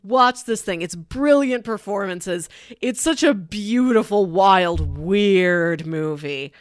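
An American woman co-hosts a casual film podcast. Her voice sits at a middling pitch and she speaks with enthusiasm and emphasis. The sound is dry, with very little room in it. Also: slow, no room sound. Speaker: podcast co-host reviewing films. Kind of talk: casual chat, film recommendations.

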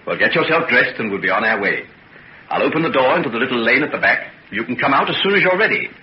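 Man acting gentleman doctor, polite, evasive, reassuring, lively intonation, old radio serial, muffled sound. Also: medium pitch, fast talker, close to an everyday voice.

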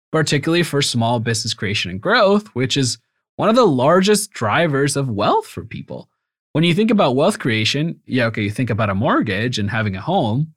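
Reflective young man from Venezuela. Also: mid-range voice. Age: young adult. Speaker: startup founder and guest. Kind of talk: casual interview.

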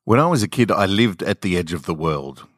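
A man narrating scripted short stories in a deliberate, measured, dramatic storytelling manner. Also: sits low, lively intonation, dry recording, studio quality. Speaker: podcast host reading short stories.

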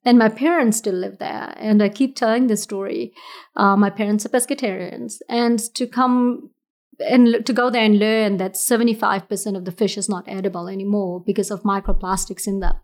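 A woman's voice: medium pitch, steady tone, brisk, a little mellow. A woman from Fiji, a business founder, talking earnestly and reflectively in a casual interview, often restarting her sentences. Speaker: entrepreneur guest.

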